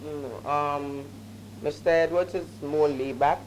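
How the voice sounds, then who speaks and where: A man's voice: fairly high pitch, fairly even intonation, slow pace, slight background noise, poor audio. A young man, a senior band arranger giving brief, matter-of-fact interview answers. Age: young adult.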